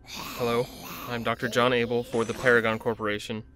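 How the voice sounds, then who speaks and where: Man acting forceful, clinical research doctor. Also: mid-range voice, flat delivery, fairly quick, soft background music, close to an everyday voice. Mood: clinical, businesslike. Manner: clinical, commanding. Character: driven, authoritative male research doctor in zombie drama. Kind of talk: audio drama acting.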